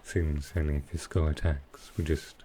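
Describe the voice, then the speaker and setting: A man playing a sly, dryly amused male supervillain in a soft roleplay ASMR style, with halting, understated delivery. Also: very deep voice, fairly even intonation, a little hiss or hum. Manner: unhurried, halting delivery with pauses, dry understated humour.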